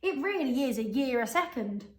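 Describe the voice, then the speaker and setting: A woman's voice: high voice, lively intonation, normal speed. A woman voicing a cheerful, amused father in a storybook reading. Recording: echoey room.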